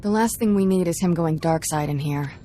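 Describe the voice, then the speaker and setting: A woman plays a sardonic video game sidekick with a lowish, very mellow voice. She is dry and wry, with faint noise behind her. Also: fairly quick, lightly acted. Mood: wary. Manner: dry, sarcastic. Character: wry, sardonic female companion in a space adventure. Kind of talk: video game cutscene acting.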